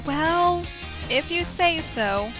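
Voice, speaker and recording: Perky, bossy young cartoon heroine, played by a woman, high and animated, music clearly behind. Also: very slow, heavily acted. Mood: brisk, matter-of-fact. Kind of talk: cartoon parody dub.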